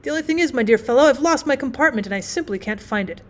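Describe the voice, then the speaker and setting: A woman voicing a giddy, boastfully happy newlywed gentleman in an audiobook, tipsy, effusive and rambling. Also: high-pitched, expressive, fast talker. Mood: flustered, cheerful. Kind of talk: acted audiobook storytelling.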